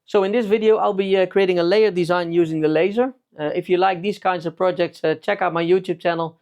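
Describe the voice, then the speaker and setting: Dutch guy, native dutch speaker w/ accent, slightly high voice, casual diy how-to talk. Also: steady tone, fast talker, muffled recording. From Netherlands. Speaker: DIY laser-cutting video maker. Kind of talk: casual tutorial narration.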